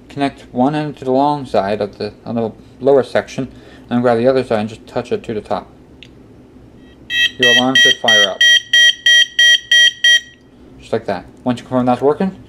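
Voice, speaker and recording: A man presenting a casual step-by-step tutorial, often repeating himself as he instructs. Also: mid-range voice. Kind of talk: casual hands-on how-to instruction. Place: indoor workbench. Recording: clearly noisy amateur room recording. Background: clear background noise.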